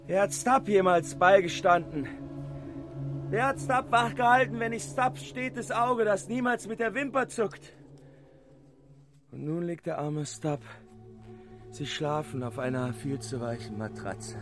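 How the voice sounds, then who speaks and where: A man's voice: high voice, measured pace, long pauses, a bit bright, faint music behind. A male actor in a radio play as a defiant, mocking sailor who faces death with theatrical gallows humour. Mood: mocking, bitter. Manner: sardonic, theatrical.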